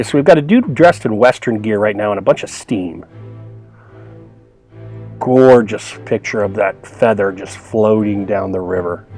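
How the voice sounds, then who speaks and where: A man's voice: mid-range voice, a little mellow. A man hosting a film analysis in a casual, enthusiastic, conversational way, talking like a teacher walking viewers through clips.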